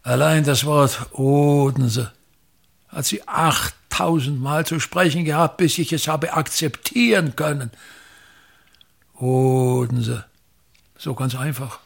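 Casting: overbearing old Austrian stage impresario for radio play, husky and higher-set, grandly declaiming his grievances. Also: fairly even intonation, full character voice. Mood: self-important. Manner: declamatory, drawn-out emphatic words, sudden swells and drops. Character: pompous, tyrannical, misogynist old actor-manager, endlessly grumbling. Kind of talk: theatrical radio drama monologue.

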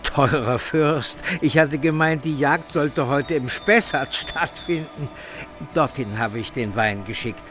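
An actor playing a cunning, scheming knight in a German radio play. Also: male voice, medium pitch, slow pace, fairly bright tone, soft background music. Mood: placating, sly.

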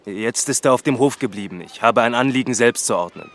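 An actor playing a reserved, earnest and stubborn farmer in a radio drama, speaking in measured, terse, plain sentences. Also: male voice, medium pitch, fairly bright tone, slight background noise, natural voice. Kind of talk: scripted German radio drama acting.

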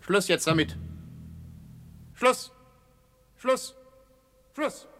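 An actor playing a curt, sardonic army captain who interrogates with clipped questions in a radio drama. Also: male voice, high-pitched, lively intonation, drawn-out words, a bit bright, heavily acted. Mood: impatient, stern. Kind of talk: German radio drama acting.

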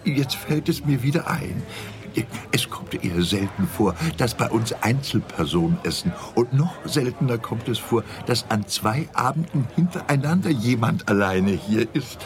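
Man as a fussy, animated waiter, busy restaurant. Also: mid-range voice, normal speed, lightly acted. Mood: animated recollection. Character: fussy, put-upon male restaurant waiter. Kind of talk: radio play dialogue. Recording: busy restaurant chatter behind.

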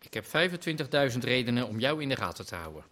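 A man's voice: mid-range voice, very animated, quick pace, a bit bright. A man acting a suspicious, menacing gambling boss and slumlord in a radio drama, speaking in a curt, sardonic way. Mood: distrustful.

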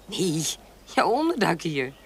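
An actress playing a shrewd, composed baroness in a period audio drama, polite, measured and genteel. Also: female voice, on the low side, big pitch swings, slight background noise, full character voice. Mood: firm.

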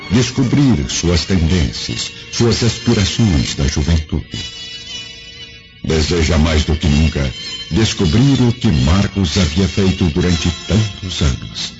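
A man's voice: sits low, sing-song, slow. A man with a Brazilian accent narrating a radio drama in a scripted, dramatic style. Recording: dull lo-fi radio drama, faint music.

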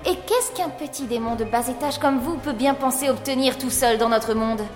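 An actress playing a bold, quick-witted woman in an audio drama, composed, sardonic and commanding. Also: sits high, close to an everyday voice. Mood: mocking calm. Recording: echoey long reverb with music.

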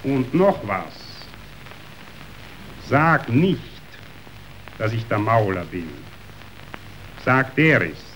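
A man's voice: mid-range voice, big pitch swings, very slow, long pauses, a bit bright, slight background noise, exaggerated voice, low-quality recording. A man acting a domineering, scornful meat tycoon in a verse radio play, declaiming theatrically. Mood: imperious.

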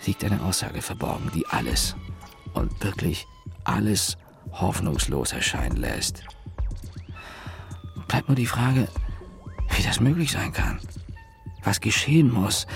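A weary, disillusioned loner in a radio play, brooding aloud over music. His low voice is thick with gravel and swings widely in pitch. He talks in halting fragments, slipping between breathy muttering and emphatic bursts. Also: full character voice. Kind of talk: dramatic monologue, stream-of-consciousness radio play.

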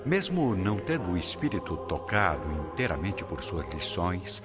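A man's voice: low-pitched, sing-song, normal speed. A Brazilian man narrating a radio soap in a solemn, formal, dramatic scripted style. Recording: dull lo-fi radio drama recording. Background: very loud dramatic music.

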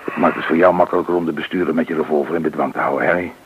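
An actor playing a methodical, businesslike robber gang leader with a gravelly, husky voice in a Dutch radio play. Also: male voice, rumbling low, fairly even intonation, rapid-fire, slight background noise. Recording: dull, muffled old radio recording.

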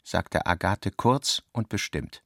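Creaky-voiced male literary narrator, close and dry. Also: sits low, takes it slowly, a bit bright, no room sound. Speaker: narrator of a radio drama. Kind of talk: literary scripted narration, voicing reported dialogue.

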